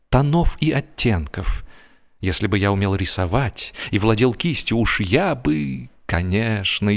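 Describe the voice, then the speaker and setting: A man performing a genial, wry gentleman who tells a humorous story in a measured, theatrical, expressive way. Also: fairly low pitch, natural voice. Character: genial, wry, musing gentleman narrator of a comic tale. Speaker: audiobook narrator and actor. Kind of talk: dramatized first-person humorous story narration. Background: music.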